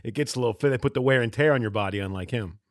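A man's voice: mid-range voice, rapid-fire, no echo. A man in his forties doing a casual, conversational sports interview as the interviewer. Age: 40s.

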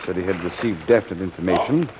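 An actor playing a genial, frank British submarine commander with hearty officer's slang in an old-time radio drama. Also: male voice, sits low, fast, crowd noise, lightly acted. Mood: chatty. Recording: muffled, noisy old radio recording.

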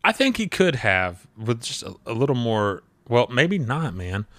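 A man of thirty talks wrestling on a podcast in a bright, lively voice whose pitch swings freely. He laughs often and slips into little voices when he quotes people. The sound is close and dry. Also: unhurried, dry recording. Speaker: podcast co-host. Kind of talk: casual wrestling chat.

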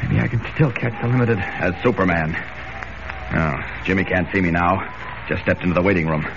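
An actor playing an earnest, urgent newspaper reporter in brisk, emphatic 1940s radio style. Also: male voice, deep voice, creaky, a crowd in the background. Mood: muttering to himself. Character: earnest, urgent male newspaper reporter with a secret hero identity. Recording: noisy, muffled old radio recording.

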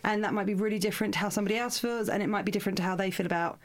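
A woman hosting a podcast interview, chatty and encouraging, quick to chime in with agreement. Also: mid-range voice. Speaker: podcast host. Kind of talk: casual interview chat.

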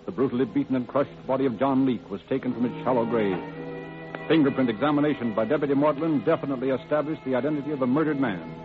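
An actor as the grave, formal narrator of an old-time radio true-crime dramatization. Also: male voice, mid-range voice, fast, music in the background, close to an everyday voice. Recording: tinny vintage radio recording.

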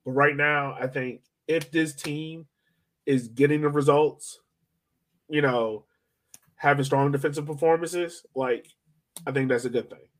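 A man's voice: on the high side, steady tone, a little slow, pauses often. An American man co-hosting a sports podcast in a casual, slangy chat style, breaking down players and performances. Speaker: podcast co-host.

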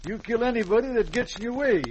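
An actor playing a folksy, stubborn frontier farmer and father in a radio western, with excitable rustic talk. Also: very high-pitched, quick pace. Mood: defiant. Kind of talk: old-time radio western acting. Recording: very noisy, dull vintage radio recording.

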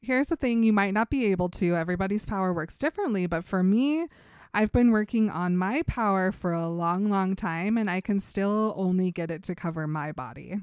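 A young woman co-hosting a podcast, chatting casually as she retells a book's scenes with quick put-on quotes and frequent laughs. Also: medium pitch, expressive, darkish tone, clear, resonant tone, no room sound, clean studio sound. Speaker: podcast co-host. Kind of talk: casual book discussion chat.

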